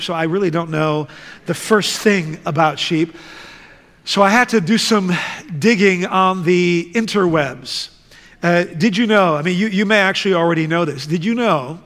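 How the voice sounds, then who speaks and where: A man who grew up in the Netherlands, preaching a sermon and reading scripture in a slow, deliberate way. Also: high-pitched, a bit bright. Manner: slow, deliberate, measured delivery. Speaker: guest preacher. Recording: reverberant church hall.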